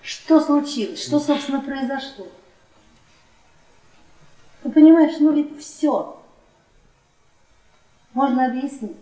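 A woman's voice: high voice, slow, pauses often, warm tone. An actress playing a domineering, scornful middle-aged wife in a radio play, taunting and dramatic in her delivery. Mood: bitter resignation. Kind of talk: theatrical radio play acting. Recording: echoey room, distant from mic.

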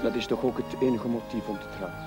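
A man's voice: lowish voice, fairly even intonation, fast, lightly acted. A man acting an apologetic, courteous young clergyman in a television period drama, talking in a hesitant, flustered way. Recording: loud music under the dialogue.

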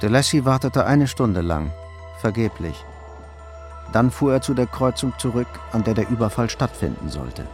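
A man's voice: medium pitch, very animated, moderate pace. A man narrating a crime radio play in a scripted, literary style. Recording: music under the voice. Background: music.

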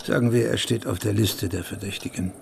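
An actor playing a gruff, streetwise police sergeant in a radio drama, blunt, colloquial and sardonic. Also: male voice, lowish voice, fairly even intonation, a little fast, darkish tone, slight background noise. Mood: businesslike.

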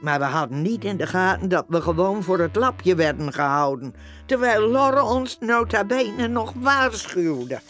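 An actress playing the rueful storyteller who narrates a comic radio play. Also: female voice, medium pitch, expressive, unhurried, fairly bright tone, soft background music. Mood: wry, rueful. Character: rueful storyteller recalling how the household was fooled.

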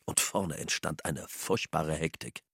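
Low, husky male narrator. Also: a little slow, a bit bright, no room sound, clean studio sound. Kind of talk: scripted radio drama narration.

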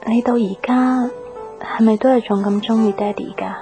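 Actress as a subdued, troubled young Cantonese daughter. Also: female voice, a little high, steady tone, moderate pace, music in the background, close to an everyday voice. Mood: concerned. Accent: Hong Kong Cantonese. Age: young adult. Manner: subdued, reflective. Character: troubled, dutiful young daughter, lovelorn and introspective. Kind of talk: Cantonese radio drama acting with inner monologue.

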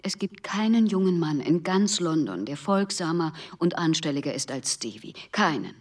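A woman playing a practical, protective wife in a German radio play, matter-of-fact and firm, with a slightly husky voice. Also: low voice, very animated, moderate pace, recorded from a distance. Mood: protective, indignant.

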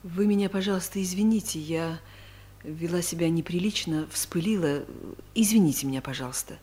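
A husky, awkward woman director. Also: fairly low pitch, a little fast, warm tone. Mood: awkward, contrite. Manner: hesitant, formal. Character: stern female director, awkwardly apologetic. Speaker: actor in an audio play. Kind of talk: radio comedy drama acting.

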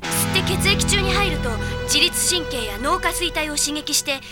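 A very high girlish voice over loud music: a cute young woman tech whiz. She explains things in a chatty, matter-of-fact way, in anime audio drama style. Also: moderate pace. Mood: explaining.

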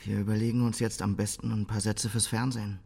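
A man playing a cynical, scheming party strategist in a German radio drama, with a sardonic, brisk delivery. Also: on the low side, level tone, vocal fry, dark tone, husky.

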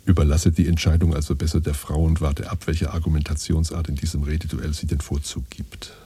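A solemn patriarch lecturing his son in a radio play. The voice is extremely low and dark, with a heavily husky, breathy grain. He speaks in pompous, old-fashioned commands. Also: little pitch movement, fairly quick, full character voice. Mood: solemn, admonishing. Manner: pompous, archaic, instructing in imperatives. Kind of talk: scripted radio play monologue.

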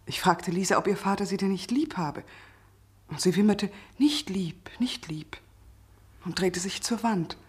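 An actress playing a worried, tender gentlewoman in a 1960s German radio drama, breathy and hushed. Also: medium pitch, a little slow. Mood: sorrowful.

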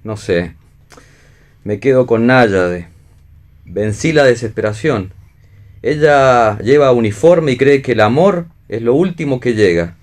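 An actor in a radio play voicing a wounded, disillusioned vagabond lover, brooding and dramatic, with an Argentine accent. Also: male voice, mid-range voice, lively intonation, measured pace, lightly acted. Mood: bitter, resigned. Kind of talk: read radio theatre.

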